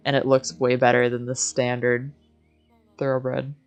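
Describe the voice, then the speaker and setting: A woman with a strikingly low voice gives casual game-stream commentary. She is candid and opinionated, talking as she would in an informal chat. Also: completely flat delivery, a little slow, smooth, faint music behind.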